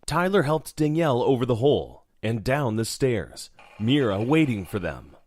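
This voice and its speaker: A man's voice: mid-range voice, sing-song, normal speed, a little mellow, slight background noise. A man narrating a horror audio drama from a script. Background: music.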